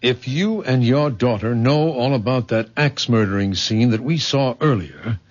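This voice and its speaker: A man's voice: mid-range voice, expressive, unhurried. A dull, lo-fi radio recording carries a direct, practical man. His mid-range voice is blunt and questioning, with a skeptical edge in classic mystery drama style. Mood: suspicious. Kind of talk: old-time radio drama acting.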